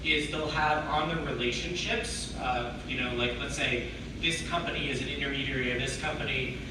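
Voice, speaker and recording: Hoarse man presenting in an echoey room. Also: medium pitch, normal speed. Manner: explains step by step, many restarts. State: hoarse from a cold. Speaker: tech meetup presenter. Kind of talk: technical talk answering questions. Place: meeting room with audience. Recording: echoey room, distant mic, background noise.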